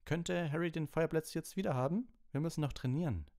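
A man's voice: a little high, fairly quick, darkish tone, pitched above the performer's own voice, close to an everyday voice. A man voicing an earnest, driven teenage boy who captains a team, in an audiobook. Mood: intent. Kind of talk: audiobook character voice.

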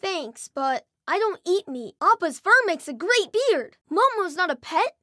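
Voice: very high-pitched, very animated, a little slow, bright, forceful tone, dry recording, studio quality, heavily acted. An actor playing a cheerful, earnest young boy airbender hero, exuberant and playful, in video game voice clips. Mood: calm, earnest.

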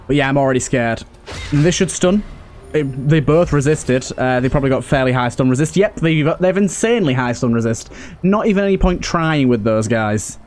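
A man's voice: medium pitch, animated, normal speed, a little mellow, a little hiss or hum. A man giving casual let's play commentary as a gaming host, thinking aloud and often repeating his phrases. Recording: clear background game ambience. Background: video game ambience and effects.

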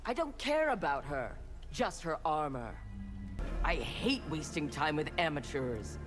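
An actor playing a cold, dismissive armored fighter in a video game, arrogant and formal in phrasing. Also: male voice, a little high, sing-song, measured pace, music in the background. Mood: scornful.

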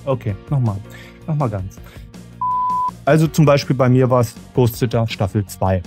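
A man's voice: mid-range voice, normal speed. A man hosting a podcast, chatting casually and presenting segments. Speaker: podcast host. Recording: music bed behind voice.

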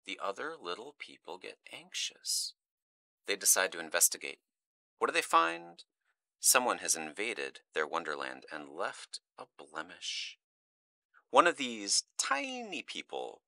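An actor playing a menacing, volatile kidnapper in an audio drama, mock-polite and theatrical, shifting between hushed and explosive delivery. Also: male voice, deep voice, creaky, dry recording, full character voice. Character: menacing, volatile male kidnapper calling a radio show.

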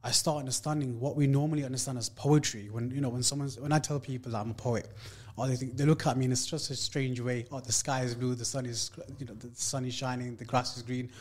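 A man's voice: mid-range voice, steady tone, fast talker, a little mellow. A man from Leicester, England, a guest poet on a radio show, talking about poetry and reciting spoken-word verse in a rhythmic, emphatic way, recorded in a radio studio. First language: not Urdu.

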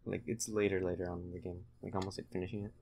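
Low, creaky, flat-voiced young man gaming. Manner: halting, low-key, distracted while concentrating. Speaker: gamer playing and commentating. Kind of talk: casual let's-play commentary.

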